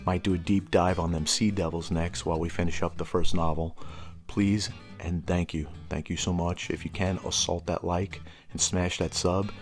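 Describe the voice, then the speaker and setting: A man's voice: low-pitched, level tone, normal speed, soft background music. A middle-aged man hosting a tabletop gaming explainer, reading prepared lore in a wry, informal way with casual asides. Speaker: host of a tabletop gaming channel.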